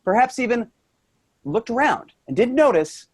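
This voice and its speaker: A man's voice: very high-pitched, sing-song, moderate pace, breathy edge, full character voice. A man in a staged play reading, playing an earnest, appalled office worker who pleads with those around him.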